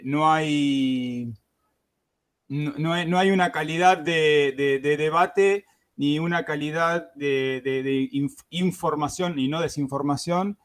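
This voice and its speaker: A man hosting a gaming podcast, talking casually with lots of fillers and restarts. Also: mid-range voice. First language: Spanish. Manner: hesitant, many fillers and restarts. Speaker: podcast host.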